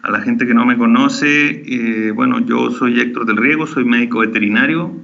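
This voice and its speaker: A man's voice: medium pitch, creaky, fairly bright tone. Mexican man on a dull webinar mic. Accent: Mexican with some Chilean. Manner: friendly, halting, many fillers and restarts. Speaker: veterinarian giving a webinar talk. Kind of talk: online lecture introduction.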